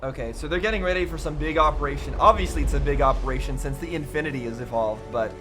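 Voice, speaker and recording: A man giving casual reaction commentary in a halting, musing way. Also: a little high, music in the background. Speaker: reaction video host.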